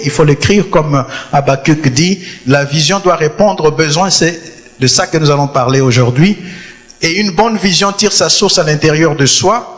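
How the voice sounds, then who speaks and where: A man's voice: a little high, fairly bright tone. A man preaching a sermon in a church, stressing his points and repeating key phrases. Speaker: pastor. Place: church hall. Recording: long hall reverb.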